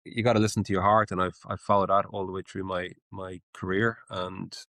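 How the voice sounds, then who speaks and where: A man's voice: deep voice, level tone, brisk, a bit bright, dry recording. A man in his forties from Ireland with an Irish accent, a guest answering interview questions in a hesitant, searching way. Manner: hesitant, with many fillers and restarts. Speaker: guest, menswear brand founder. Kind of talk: casual interview.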